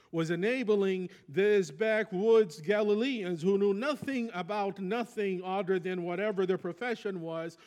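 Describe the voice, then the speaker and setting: Pastor: man, Brazilian accent, native Portuguese, fairly high voice, conversational preaching with personal stories. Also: a little mellow. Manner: reflective storytelling, conversational. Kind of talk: sermon.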